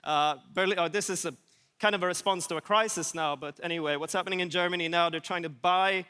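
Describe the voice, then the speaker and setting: A man's voice: high voice, steady tone, fast, sharp tone, clean tone. A man giving an informal conference presentation in a dry, wry, casual manner. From Sweden. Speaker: conference speaker. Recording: echoey hall with long reverb.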